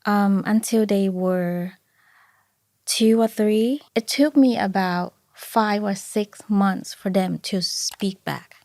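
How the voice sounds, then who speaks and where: Thai-accented woman guest, mid-range pitch, candid and chatty, dry close recording. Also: fairly even intonation, slow, darkish tone, no room sound. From Thailand. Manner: candid, restarts sentences while recalling. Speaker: guest, mother of two. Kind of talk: casual interview chat.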